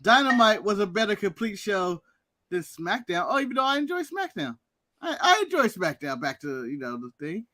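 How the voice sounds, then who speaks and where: A man's voice: high voice, normal speed, no room sound, clean studio sound. A man co-hosting a podcast, joking and swearing in casual banter. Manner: profane, joking, animated. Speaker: podcast co-host.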